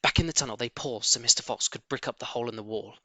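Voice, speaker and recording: A man reading a children's story aloud as an animated audiobook narrator. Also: mid-range voice, fast, no room sound. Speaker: audiobook reader.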